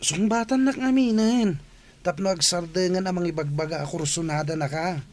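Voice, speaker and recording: A man's voice: high voice, normal speed, a little mellow, natural voice. A man with an Ilocano accent acting a cocky, teasing young schoolmate in a radio comedy drama.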